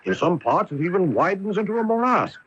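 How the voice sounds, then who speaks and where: On a noisy, muffled AM radio tape, a self-assured gentleman detective in a radio mystery. His voice is high and swoops as he lectures eagerly and precisely. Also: big pitch swings, fairly quick. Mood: confident, explaining. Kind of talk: 1980s radio mystery acting.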